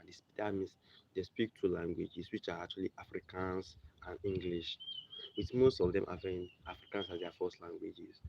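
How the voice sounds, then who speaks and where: Gravelly low Nigerian man, muffled. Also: dark tone. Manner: rambling, repeats and restarts, conversational asides. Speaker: video presenter. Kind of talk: casual explainer monologue. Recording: muffled lo-fi recording with background noise.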